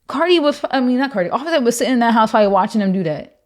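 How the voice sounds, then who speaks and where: A young woman co-hosting a podcast with a deep voice, rambling casually with lots of "like". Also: animated. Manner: fast rambling talk full of "like". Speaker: podcast co-host. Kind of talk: casual chat.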